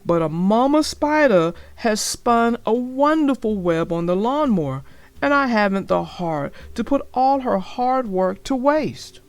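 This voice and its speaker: A woman's voice: on the high side, lively intonation, a little slow, no echo. Woman voicing Papa Bear, an emphatic storybook dad. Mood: earnest excuse-making. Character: Papa Bear, polite excuse-making father bear. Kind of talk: children's storybook read-aloud.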